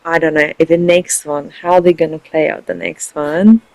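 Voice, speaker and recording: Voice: medium pitch, flat delivery, moderate pace, gravelly, a little mellow, dry recording, low-quality recording. A gaming video host giving casual, rambling, informal commentary on a playthrough, thinking aloud.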